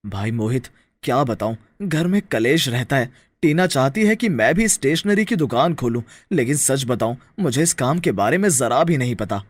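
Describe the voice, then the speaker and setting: A man's voice: mid-range voice, expressive, moderate pace, no echo, natural voice. A man playing a troubled, henpecked young farmer husband in a story, weary and pleading. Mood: worried, pleading.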